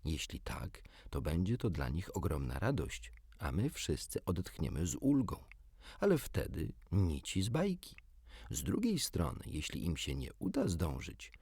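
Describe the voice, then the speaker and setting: A man's voice: deep voice, gravelly, warm tone, husky, no room sound, clean studio sound. A man narrating a children's storybook expressively, as an audiobook reader.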